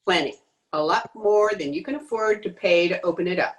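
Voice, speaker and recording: A woman's voice: on the low side, a little fast, lightly acted. A woman playing a tough, defiant swindler in an old-time radio crime drama, slangy, sneering and cocky. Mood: cocky, taunting. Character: brassy, defiant female swindler, tough and mocking. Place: home recording over video call.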